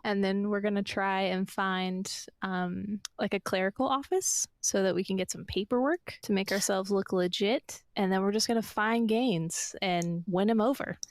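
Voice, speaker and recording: A woman chats through a roleplaying game as a player. Her pitch is middling and her delivery is informal, as if working ideas out aloud. The sound is dry, with very little room. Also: no echo. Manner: informal, thinking out loud. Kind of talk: casual improvised roleplay chat.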